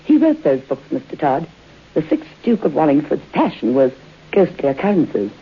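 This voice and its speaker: An actress playing a genteel, knowing middle-aged widow in a vintage radio suspense play. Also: female voice, sits low, very animated, normal speed, slight background noise. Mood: ominous, knowing. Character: genteel, eerie middle-aged widow hostess, coyly hospitable. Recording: muffled tinny old radio broadcast.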